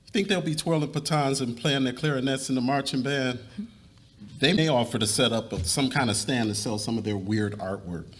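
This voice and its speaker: A man's voice: mid-range voice, brisk, natural voice. A man playing a middle-aged family man in a dramatic reading, earnest and conversational. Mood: hesitant, earnest.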